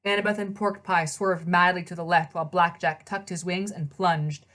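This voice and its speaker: A woman's voice: lowish voice, fairly even intonation, moderate pace, a bit bright. A woman reading a children's novel aloud as narrator, in scripted storybook narration.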